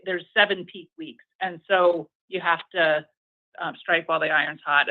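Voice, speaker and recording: High-voiced male executive on a phone line. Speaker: company executive. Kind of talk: earnings call answers to analysts. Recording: narrowband conference phone line.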